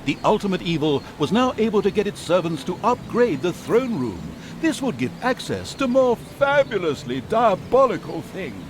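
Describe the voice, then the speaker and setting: A man's voice: high voice, very animated, normal speed, few pauses, background noise. A man giving wry, mock-grandiose, theatrical narration for a comedic fantasy game. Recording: music behind the voice. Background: music.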